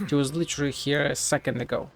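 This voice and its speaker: A man's voice: on the high side, steady tone, fast, faint music behind. A man giving casual, offhand game commentary and reading text aloud, muttering now and then. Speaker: video game streamer.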